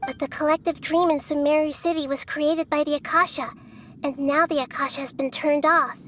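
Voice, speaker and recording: An actress voicing a calm, thoughtful young goddess of wisdom who explains things gently in a video game. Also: female voice, high voice, fairly quick, slight background noise. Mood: reasoning.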